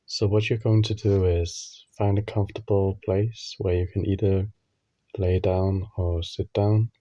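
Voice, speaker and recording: A man leading a guided meditation, giving gentle instructions in short phrases with long pauses. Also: low-pitched, flat delivery, dry recording, lo-fi sound.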